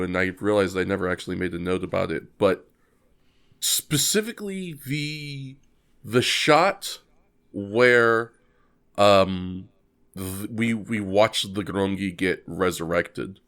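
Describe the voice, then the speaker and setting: A man's voice: fairly low pitch, a little slow, fairly bright tone. A young adult man co-hosting a casual podcast, eager and prone to stammering restarts. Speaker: podcast co-host.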